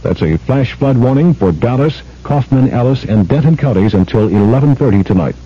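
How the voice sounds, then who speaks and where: A man's voice: medium pitch, lively intonation, fairly quick, husky. A man reading a weather warning bulletin on radio in a formal, factual way. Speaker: radio news announcer. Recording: dull, muffled old radio broadcast.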